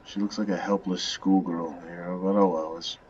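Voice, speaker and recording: Low-voiced, offhand man gaming. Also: flat delivery, normal speed, highs cut off, background noise. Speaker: video game streamer. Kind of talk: casual gameplay commentary. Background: game music.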